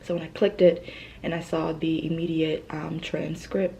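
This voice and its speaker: A young woman talks in a low, mellow voice, soft and hesitant, often sinking to a whisper. She sounds grieving and close to tears, with pauses and fillers running through her speech. Faint noise hums in the background. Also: level tone, creaky. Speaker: vlogger. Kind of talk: emotional personal vlog.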